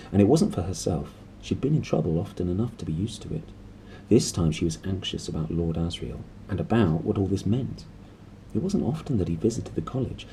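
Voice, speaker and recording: A man's voice: sits low, creaky, mellow, a little hiss or hum, low-quality recording. A man reading a story aloud expressively. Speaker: reader and host. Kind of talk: expressive audiobook narration with casual asides.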